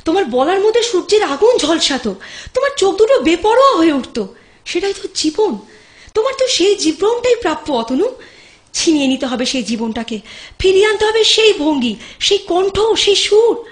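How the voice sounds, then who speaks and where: A woman acts out a devoted young woman nursing someone she loves in an audio drama. Her voice is high and highly animated, moving between tender coaxing and impassioned urging. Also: moderate pace. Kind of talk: Bengali audio drama acting.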